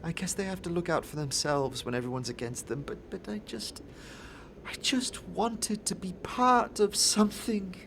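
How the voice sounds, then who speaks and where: A man's voice: high-pitched, gravelly, a bit bright, a little hiss or hum, pitched above the performer's own voice. Man voicing a nervous, stammering young boy. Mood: dejected, ashamed. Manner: stammering, halting.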